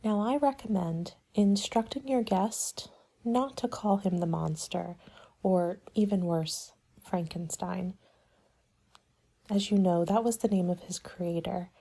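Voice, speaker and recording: Soft asmr lady roleplaying a planner, breathy n mellow, polite and measured, half whispery. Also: medium pitch. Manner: polite, measured, businesslike consultant patter.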